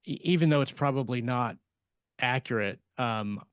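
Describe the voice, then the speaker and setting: A man co-hosting a podcast in casual discussion, explaining things haltingly as he thinks aloud. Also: medium pitch, no room sound, studio quality. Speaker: podcast co-host and fiction writer.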